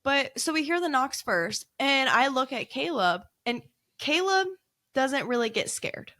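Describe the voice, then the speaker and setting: A woman with a loud voice, co-hosting a podcast and telling a ghost-hunt story in a lively, laughing way. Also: high-pitched, measured pace, no echo. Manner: animated, laughing storyteller who acts out quotes. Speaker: podcast co-host. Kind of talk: casual storytelling chat.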